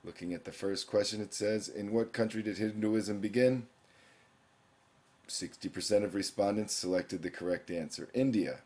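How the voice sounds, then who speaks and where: Male teacher, young adult, very low voice; plain explanatory screencast narration. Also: normal speed, a little mellow. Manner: plain explanatory, reading quiz questions aloud.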